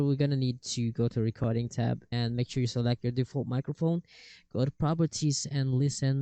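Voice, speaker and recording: A man walks through a software tutorial in a mellow, relaxed voice. His sound is smooth and close, with very little room to it. The phrasing is casual and a bit halting as he moves from step to step. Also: medium pitch, dry recording. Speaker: tutorial host. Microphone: cheap desktop microphone with software processing.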